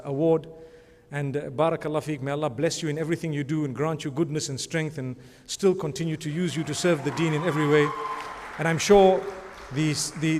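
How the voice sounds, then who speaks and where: A man presenting an award, speaking in a rambling, conversational way and often adding religious blessings. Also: a little high. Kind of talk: speech at an awards ceremony. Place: large conference hall. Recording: long hall reverb.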